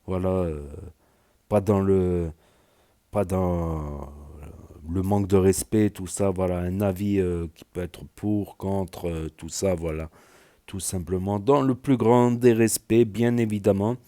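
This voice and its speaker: A man with a light southwestern French accent, talking in a lowish, mellow voice. He gives loose, rambling reaction commentary as a video host, stringing thoughts together with constant fillers and restarts. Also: steady tone, slow pace. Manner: rambling, filler-heavy, many "voilà" and "euh". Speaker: YouTube news commentator.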